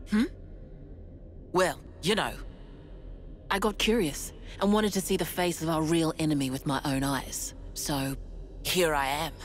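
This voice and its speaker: A steady squad commander in a game, speaking in a dry, matter-of-fact tone. Her voice sits fairly low, with faint noise behind. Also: a little slow, pauses often. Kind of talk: video game voice acting.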